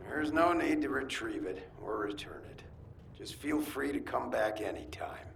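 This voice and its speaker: A man playing a gruff, teasing old military quartermaster in a video game, with a gravelly voice and wry grumbling. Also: medium pitch, expressive, moderate pace, fairly bright tone, roomy sound, a little hiss or hum.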